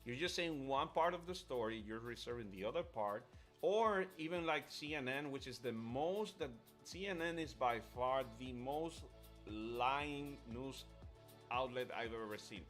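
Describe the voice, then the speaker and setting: A man's voice: mid-range voice, a little slow, fairly bright tone. Male streamer, mid-range voice, opinionated casual talk that hammers points by repetition, music underneath. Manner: emphatic, repeats himself. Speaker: host of live trading stream. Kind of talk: casual opinionated live chat.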